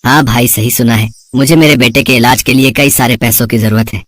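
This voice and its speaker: A man voicing an earnest, worried father who runs a street food cart, gentle and reflective, in a moral story. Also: mid-range voice, fairly even intonation, a little fast, dry recording, close to an everyday voice. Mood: troubled. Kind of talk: Hindi moral story voice acting.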